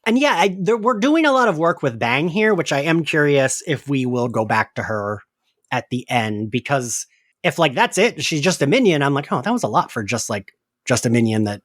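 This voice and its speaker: A man hosting a podcast recap in a casual, giggly, animated way, with the odd swear word. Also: on the high side, moderate pace, no room sound, studio quality. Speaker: podcast host.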